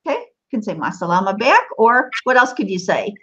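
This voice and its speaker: A woman's voice: medium pitch, big pitch swings, fairly quick, sharp tone, breathy edge. A woman teaching a language one-to-one online, giving short encouraging prompts and confirmations. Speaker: Arabic teacher. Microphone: online call. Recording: dull, lo-fi online call audio.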